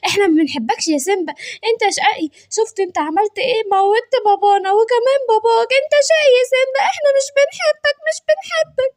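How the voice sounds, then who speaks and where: A woman's voice: very high voice, very fast, voice pushed up. A woman voicing an indignant, tearful young animal child with a whiny, accusing manner, in Egyptian Arabic for a children's cartoon. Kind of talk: children's cartoon story voice acting.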